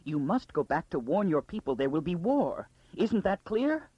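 A man's voice: high voice, sing-song, moderate pace, breathy edge, no room sound, muffled recording, voice pushed up, exaggerated voice. A man voicing a frightened, frantic noblewoman in an audiobook, with an urgent, wailing manner. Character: frantic, frightened noblewoman urging a girl to flee.

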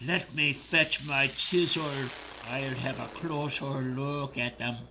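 A man voicing a group of curious, fussy little gnomes in a hushed, marveling tone. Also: mid-range voice, steady tone, slow pace, background noise, voice pushed up.

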